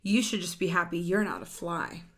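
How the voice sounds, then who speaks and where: A woman's voice: fairly low pitch, fairly even intonation, normal speed, lightly acted. A woman voicing a boastful, hungry wolf in a read-aloud picture book. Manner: loud, boastful.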